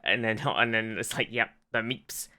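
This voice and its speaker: A man co-hosting a podcast, recapping television in casual, excitable, loosely worded chat. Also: lowish voice, normal speed, slight background noise. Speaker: podcast co-host.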